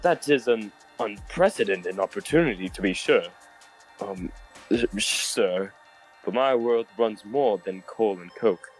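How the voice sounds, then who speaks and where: A man's voice: fairly low pitch, animated, unhurried, slightly rough, poor audio. A man playing a curious human scientist and engineer in a comic dub, formal and polite with a hesitant manner. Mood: cautious, curious. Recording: voice under background music.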